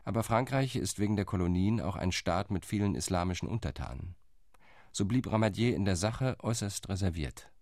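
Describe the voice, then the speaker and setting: A man's voice: low voice, a little mellow, no echo, clean studio sound. An actor playing a shrewd, ironic male political envoy in a radio play, reporting his lobbying rounds.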